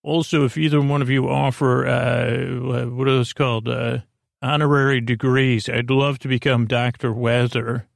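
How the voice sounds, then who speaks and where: A man hosting a sleep podcast in a creaky voice, lulling and soothing, meandering off into tangents. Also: medium pitch, little pitch movement, normal speed, no room sound, studio quality. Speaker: podcast host. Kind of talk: rambling bedtime sleep-podcast monologue. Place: small closet.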